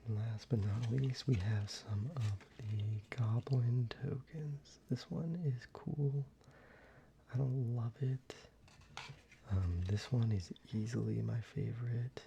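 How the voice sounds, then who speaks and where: Whispering man with a gravelly, dark voice. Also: mid-range voice, slow. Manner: whispered, relaxed, casual with swearing. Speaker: ASMR creator. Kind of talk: soft-spoken ASMR show-and-tell. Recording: slightly dull, faint background noise.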